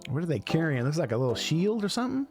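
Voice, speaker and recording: A man hosting a music reaction show, talking off the cuff in a halting, rambling way and often repeating himself. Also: fairly high pitch, big pitch swings. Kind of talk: casual off-the-cuff reaction commentary.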